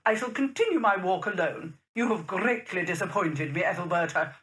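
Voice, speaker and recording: An actress as a severe, genteel older lady of a Victorian household in an audio drama. She is formal and wounded, and her pitch moves expressively as she reproaches. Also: lively intonation, normal speed, a bit bright, a little hiss or hum. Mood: stern, reproachful. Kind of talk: period audio drama acting.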